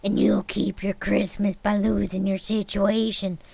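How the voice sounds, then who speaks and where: A woman's voice: medium pitch, little pitch movement, measured pace, vocal fry, a little mellow, slightly rough, full character voice. A woman reading aloud and voicing a miserly, grumpy old man who growls his curt replies. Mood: gruff.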